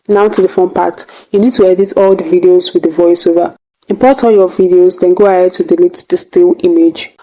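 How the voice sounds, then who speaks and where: A woman's voice: fairly low pitch, dark tone, poor audio. A woman hosting a tutorial, narrating step by step in a casual way. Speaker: tutorial host.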